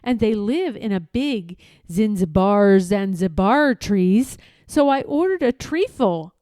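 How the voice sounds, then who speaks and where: A woman reading a rhyming children's storybook aloud in an expressive, playful way, with deliberate and emphatic phrasing. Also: medium pitch, no room sound, clean studio sound.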